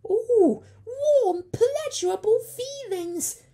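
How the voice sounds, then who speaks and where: A man voicing a timid, squeaky male creature who gives muddled directions. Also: lively intonation, very slow, dark tone, heavily acted. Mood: delighted. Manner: innocent, nervous, muddled.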